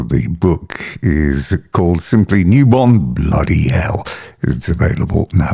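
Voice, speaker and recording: A man hosting a sports book podcast, interviewing a guest and introducing clips in a steady, plain way. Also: rumbling low, creaky, husky. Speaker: podcast host.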